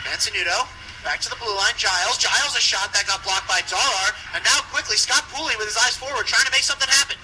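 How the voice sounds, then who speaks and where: A man doing live play-by-play commentary of an ice hockey game for radio, following the action briskly. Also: high-pitched. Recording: noisy live radio sports broadcast.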